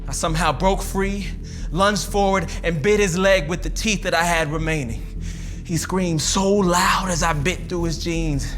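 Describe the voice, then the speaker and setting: An actor playing a wounded, reflective man recounting a painful childhood memory in a theatrical memoir monologue, with deliberate pauses. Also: high-pitched, a bit bright, soft background music. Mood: anguished, intense. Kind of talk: filmed dramatic monologue reading, first-person memoir.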